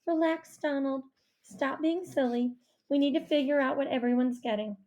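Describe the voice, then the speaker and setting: A woman's voice: sits high, normal speed, darkish tone, voice pushed up, lightly acted. A woman narrator voicing a proud, cautioning mother who explains things carefully in an audiobook. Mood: annoyed. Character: proud, cautioning mom, a food critic. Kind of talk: audiobook character voice.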